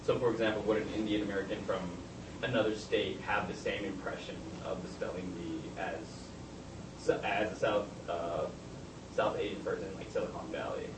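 A man interviewing a guest, asking hesitant, halting questions full of restarts. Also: fairly low pitch, fairly even intonation. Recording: distant, echoey room with noise.

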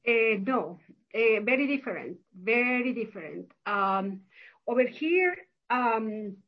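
A woman's voice: fairly high pitch, lively intonation, takes it slowly, dull audio. A woman from Mexico with a Mexican Spanish accent, a professor and author answering interview questions hesitantly, with many fillers and restarts.

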